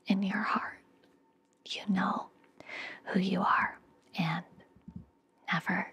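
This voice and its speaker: Young woman whispering asmr relaxation stuff, super breathy, gentle and reassuring, pretty flat pitch, right up on the mic. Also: takes it slowly. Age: young adult. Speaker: ASMR podcast host. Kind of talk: ASMR, soothing guided relaxation and affirmations. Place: indoor room, close up. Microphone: fluffy-covered microphone very close to the mouth.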